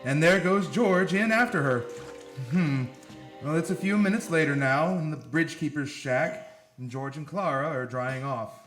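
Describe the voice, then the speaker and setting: A man's voice: a little high, a little slow, fairly bright tone. A man playing the storytelling narrator of a radio play, reading in a dramatic scripted style with music behind. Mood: brisk, storytelling.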